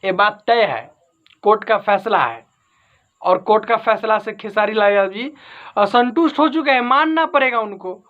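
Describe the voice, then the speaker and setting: A man's voice: high-pitched, animated, normal speed, lo-fi sound. A man giving informal entertainment news commentary as an online host, repeating and rephrasing his points for emphasis. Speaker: YouTube host.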